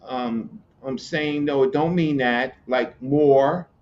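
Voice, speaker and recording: A man from Virginia, explaining hieroglyphs in casual chat, halting, with many fillers and repeated words. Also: medium pitch, darkish tone, slight background noise. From Newport News, Virginia, USA. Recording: muffled lo-fi audio with faint ambience.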